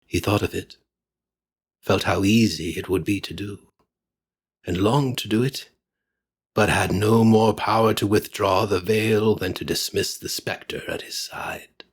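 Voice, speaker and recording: Deep, husky man reading dramatically. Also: a little slow. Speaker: audiobook reader. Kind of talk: dramatic scripted narration of Victorian fiction.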